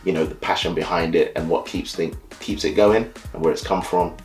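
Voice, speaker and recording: A man born and raised in the UK who leads a carnival band, explaining carnival in a relaxed, easygoing way, often saying "you know" and "so yeah". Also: deep voice, brisk, soft background music. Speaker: carnival band leader and soca DJ. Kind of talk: casual explainer talk to camera.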